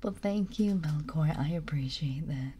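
A woman streamer whispering softly in an intimate ASMR livestream, with a raspy, deep voice and frequent giggles. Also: measured pace, slight background noise.

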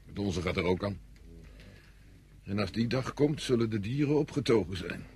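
An actor playing a fatalistic, brooding soldier in a Dutch radio drama, speaking philosophically. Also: male voice, lowish voice, animated, moderate pace, a little mellow, a little hiss or hum. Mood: brooding, detached. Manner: philosophical, reflective. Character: fatalistic, brooding soldier curious about death.

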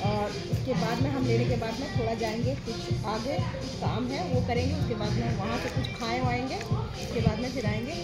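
A woman's voice: on the high side, fairly quick, loud music behind the voice, rough recording. Chatty woman vlogging on her phone at night. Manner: chatty, talks straight to viewers. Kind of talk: casual travel vlog chat. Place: outdoor cafe and street at night. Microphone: phone camera held at arm's length.

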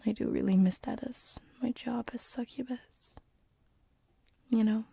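A woman playing a tender, lovestruck succubus-turned-sleep paralysis demon in an ASMR roleplay, with a soft, breathy whisper and an intimate, hesitant manner. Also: mid-range voice, no room sound, heavily acted. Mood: tender, teasing. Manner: intimate, hesitant, slow and soothing. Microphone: close binaural microphone.

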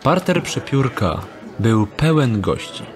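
A man's voice: medium pitch, big pitch swings, takes it slowly. A man narrating a fantasy audio drama from a script as storyteller.

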